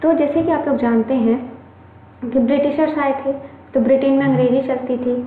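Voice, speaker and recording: An Indian woman gives an online class in a higher voice, chatty and reassuring, going back over each point. Her voice comes through tinny and phone-like. It rings in an echoey room with faint noise behind it. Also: steady tone, brisk. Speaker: online English teacher. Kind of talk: Hindi-English mixed classroom lecture.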